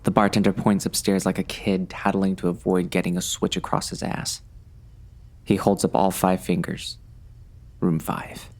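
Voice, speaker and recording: Man acting a low, gravelly, uneasy western gunman. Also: little pitch movement, moderate pace. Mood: tense, wary. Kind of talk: first-person audiobook narration, present tense.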